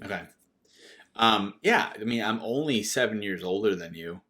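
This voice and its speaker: A man's voice: fairly low pitch, expressive, normal speed, pushed and bright. A man of 37 chatting casually as a podcast co-host, often restarting his phrases as he talks. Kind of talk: casual unscripted chat.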